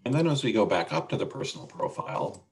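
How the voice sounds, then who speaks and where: A man presenting a casual software walkthrough on a video call, explaining things step by step. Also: medium pitch, very animated, fast, creaky. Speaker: webinar presenter demonstrating a website.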